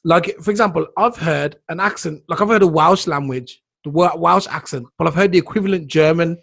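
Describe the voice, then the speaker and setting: A man's voice: on the high side, brisk, creaky, a bit bright. A man co-hosting a casual chat who rambles and keeps asking "you know what I mean?".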